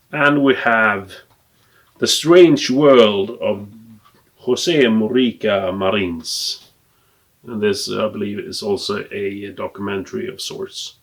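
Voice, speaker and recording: A man from Sweden with a Swedish accent, a native Swedish speaker, casually showing off a collection in English in a hesitant, halting way with trailing asides. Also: fairly low pitch, breathy edge. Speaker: film collector presenting a collection. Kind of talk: casual unscripted show-and-tell.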